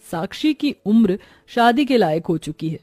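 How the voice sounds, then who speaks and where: A woman's voice: mid-range voice, expressive, normal speed, a little mellow, dry recording, soft background music. A woman reading scripted narration for a moral story, as its storyteller.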